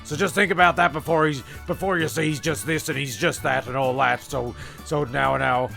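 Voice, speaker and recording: A man's voice: on the high side, steady tone, normal speed, creaky, pushed and bright. A man hosting and narrating a comic sketch show in a rambling, stammering, sweary way. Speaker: narrator and host. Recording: homemade audio with faint music behind.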